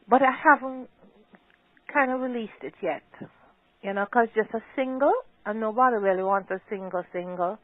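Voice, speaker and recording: A woman's voice: a little high, moderate pace, no room sound. An older woman, a talkative singer who laughs often, chatting as a guest in a phone interview. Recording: tinny dry phone line.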